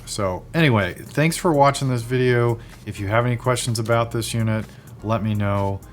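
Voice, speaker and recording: A man giving a casual, unscripted product review, speaking in a measured way with thoughtful, hesitant phrasing. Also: medium pitch, a little hiss or hum. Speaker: product reviewer, video host.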